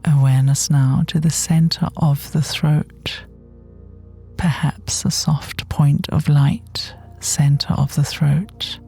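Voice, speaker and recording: A woman leads a guided relaxation in a gentle, mellow voice. She is breathy and often slips toward a whisper. Her delivery is soothing and very unhurried, leaving long pauses between phrases. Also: low-pitched, fairly even intonation. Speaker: guided meditation teacher. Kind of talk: guided body scan meditation. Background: soft meditation music.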